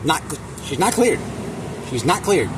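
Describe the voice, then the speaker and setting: A man giving a casual, opinionated reaction rant as an online commentator, emphatic and repetitive, with halting phrasing and crude slang. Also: on the high side, sing-song, background noise.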